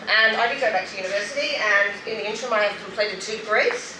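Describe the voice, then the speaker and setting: A middle-aged Australian woman tells her own story as a speaker at a public event. She is emphatic and candid, with a dry wit. Her voice comes from a distance in an echoing space, with clear noise in the recording. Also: medium pitch, quick pace. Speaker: guest speaker, anti-poverty group member sharing her story. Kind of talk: personal testimony in a public speech. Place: roomy venue at a public event. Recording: roomy, noisy, distant event microphone.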